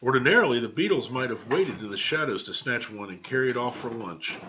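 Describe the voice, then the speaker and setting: An American man reads a children's book aloud like a teacher, pausing for casual asides. His voice is bright and forceful, and the webcam audio is slightly dull and lo-fi. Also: medium pitch, animated, a little hiss or hum. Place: small home room, indoors. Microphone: webcam at desk distance.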